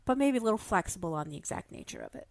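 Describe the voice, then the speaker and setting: A woman with a mid-pitched voice talks through ideas in a casual podcast discussion. She qualifies her points as she goes, and the room sound is very dry. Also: huge pitch swings, rapid-fire, vocal fry, no echo. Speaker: podcast panelist.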